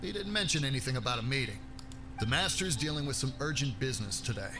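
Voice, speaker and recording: A formal, timid guard in a video game, played by a man. His voice sits at a middle pitch, with a servile, easily cowed manner. Game music plays clearly underneath. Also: expressive, quick pace, lightly acted. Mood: officious.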